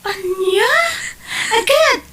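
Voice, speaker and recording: A woman's voice: extremely high pitch, lively intonation, very slow, background noise, exaggerated voice. A woman with an Ilocano Filipino accent playing a concerned, exclamatory friend in an audio drama. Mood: shocked, concerned.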